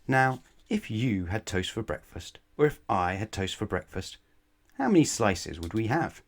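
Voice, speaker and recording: A man's voice: on the low side, big pitch swings. A man reading a children's bedtime story aloud in a playful, emphatic way, addressing the young listener. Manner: playful, emphatic, drawn-out key words, rhetorical questions to the listener. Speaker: storybook reader.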